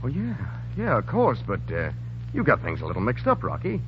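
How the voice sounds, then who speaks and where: Scheming gangland associate, mid-pitched, animated, slippery, on a noisy muffled 1930s radio drama. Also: male voice, a little fast. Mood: evasive. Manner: hesitant, placating, turning curt. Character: smooth-talking, evasive, scheming crooked associate of gangsters.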